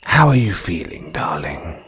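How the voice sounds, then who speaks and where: A man voicing a male jungle naga, guarded but tender and flirtatious, in an intimate audio roleplay with slow, formal phrasing. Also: a bass voice, sing-song, fairly bright tone, a little hiss or hum, heavily acted. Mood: warm, flirtatious. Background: crickets and jungle insect sounds.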